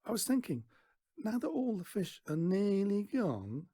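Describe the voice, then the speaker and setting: A man's voice: sits high, very animated, a little slow, husky, voice pushed up, exaggerated voice. A man voicing a small, earnest, polite young boy in a storybook reading. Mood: polite, hopeful. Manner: soft, earnest, hesitant.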